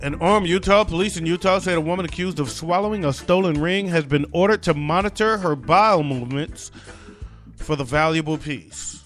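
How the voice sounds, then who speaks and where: A man's voice: a little high, normal speed, a bit bright, faint music behind. Chatty joking male podcast host. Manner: chatty and joking, many "uh" fillers. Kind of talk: casual solo news commentary.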